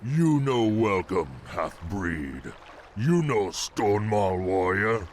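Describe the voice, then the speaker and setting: An actor playing a gruff, dim ogre warrior in a fantasy game, talking in blunt broken sentences. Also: male voice, fairly low pitch, lively intonation, slow, a little mellow, a little hiss or hum. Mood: hostile. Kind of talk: fantasy game cutscene acting.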